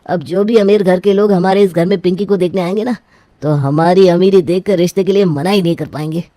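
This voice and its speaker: A woman's voice: fairly low pitch, fairly even intonation, fairly quick, dark tone, no room sound, lightly acted. A woman playing a boastful, scheming middle-aged father in a story, pushy and cocksure.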